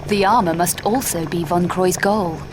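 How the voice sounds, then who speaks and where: A cool, sardonic adventuress from a video game , voiced by a woman. She is composed and dryly witty, her voice ringing with long reverb over clear background noise. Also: on the low side, moderate pace, natural voice. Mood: determined. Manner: dry, composed, wry. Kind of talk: dramatic video game cutscene acting.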